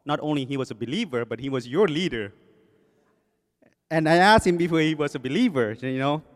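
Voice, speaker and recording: A man's voice: on the high side, lively intonation, brisk. A man in his forties with a Thai accent, a guest preacher talking from the podium in a joking, self-deprecating way with many fillers. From Thailand. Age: 40s. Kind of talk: sermon introduction. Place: church sanctuary. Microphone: podium microphone. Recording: long reverb of a church hall.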